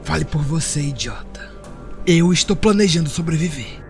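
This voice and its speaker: A man with a Brazilian accent playing a shifty, desperate, foul-mouthed slave in an audio drama, gravelly and aggressive. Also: on the high side, lively intonation, moderate pace, a little mellow, soft background music. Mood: scornful. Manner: aggressive, cursing. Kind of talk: audio drama dialogue in Portuguese.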